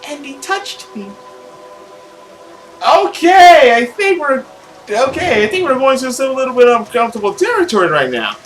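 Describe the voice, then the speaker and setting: A man's voice: very high voice, very animated, moderate pace, fairly bright tone, faint music behind. A man hosting a gaming video, reading a visual novel aloud with exclamatory, jokey reactions.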